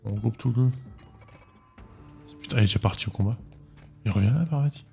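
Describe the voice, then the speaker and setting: A man's voice: on the low side, brisk, gravelly, mellow. A man with a French accent giving casual, chatty commentary while playing a game, often talking back to it in mock exasperation. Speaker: gaming streamer. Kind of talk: casual let's-play commentary. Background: game music.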